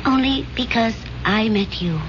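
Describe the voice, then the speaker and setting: A woman's voice: a little high, measured pace, natural voice. An actress playing an earnest, devoted young woman in love in a radio drama. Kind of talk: 1970s radio drama acting. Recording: noisy, dull old radio broadcast.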